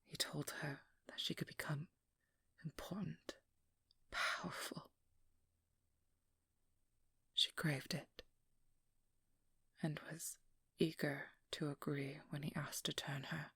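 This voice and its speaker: A woman playing a guarded, protective young vampire hunter in a hushed, breathy ASMR roleplay, speaking slowly with halting pauses close to the mic. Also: low-pitched, level tone, no room sound, heavily acted. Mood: bitter, sorrowful. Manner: slow, halting, intimate delivery with pauses. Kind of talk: whispered ASMR roleplay, dramatic monologue. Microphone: close microphone, dry.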